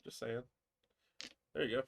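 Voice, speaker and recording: A man's voice: unhurried, slight background noise. A man playing and hosting a board game session in casual, conversational talk. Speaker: host and player in a board game livestream. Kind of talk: casual tabletop play chat.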